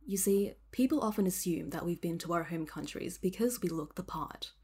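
A woman with an Australian accent reading scripted documentary narration in a measured storytelling manner as the host. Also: fairly low pitch, lively intonation, a little mellow, slightly rough.

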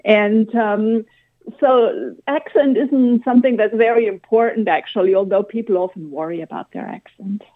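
A woman from Germany with a German accent, answering radio interview questions in a thoughtful, explanatory way with frequent pauses and ums. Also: mid-range voice. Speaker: guest expert on language learning. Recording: phone-line interview audio.

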